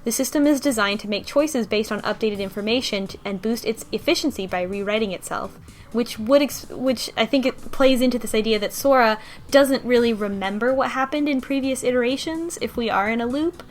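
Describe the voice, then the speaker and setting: A woman's voice: on the high side, fairly quick. A woman talking casually in a group discussion about a game, rambling with many restarts and fillers. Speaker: podcast co-host discussing a game.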